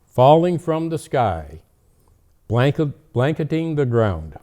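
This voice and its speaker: A man playing a jolly, gentle old Santa Claus, reading storybooks and chatting in an unhurried, deliberate way. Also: medium pitch, big pitch swings. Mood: storytelling. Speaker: storyteller host. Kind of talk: storybook reading and casual chat.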